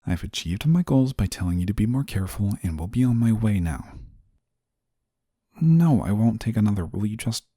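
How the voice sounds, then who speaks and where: A stern, dutiful male guardian angel, acted by a man in an intimate audio roleplay. His voice is low, soft-toned and husky. He speaks formally, with a prim reserve that easily turns flustered. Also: lively intonation, moderate pace, no room sound, clean studio sound. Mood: stubborn denial. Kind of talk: scripted audio roleplay, intimate one-sided dialogue.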